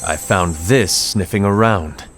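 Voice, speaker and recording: An actor playing a serious, suspicious male leader in a fantasy video game cutscene, speaking in a grave, measured way. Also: low voice, fairly bright tone, faint music behind.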